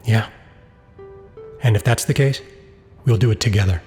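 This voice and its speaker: A man's voice: fairly low pitch, moderate pace, a little mellow, natural voice. Audio drama lead, male actor: middle-aged scientist, worn down and remorseful, earnest; heavy reverb tail, music bed. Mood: remorseful, tender. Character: weary, regretful, burdened middle-aged father and scientist. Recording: long reverb, music underneath.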